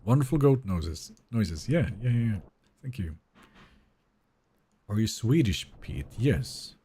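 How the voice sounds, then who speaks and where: Lively, husky-voiced man streaming a game. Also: lowish voice, slow. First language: Finnish. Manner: casual, chatty, answers viewers' messages. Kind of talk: live gameplay stream, reading chat aloud.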